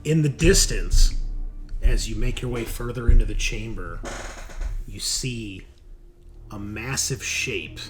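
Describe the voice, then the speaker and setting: A man's voice: lowish voice, lively intonation, takes it slowly, pauses often, over music. A man running a horror tabletop roleplaying game as game master, narrating descriptively and suspensefully.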